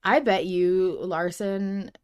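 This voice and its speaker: Lower-pitched woman, candid podcast co-host. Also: fairly even intonation, slow, darkish tone, smooth, muffled recording. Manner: enthusiastic, candid, casually sweary. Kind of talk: casual podcast discussion.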